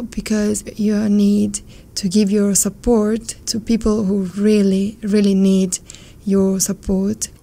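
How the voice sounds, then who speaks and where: A woman with a northern Italian accent, a volunteer psychologist answering interview questions in a measured, earnest way, Italian being her first language. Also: medium pitch, gravelly, mellow. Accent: Italian, from around Turin. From northern Italy. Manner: measured, earnest, careful non-native phrasing.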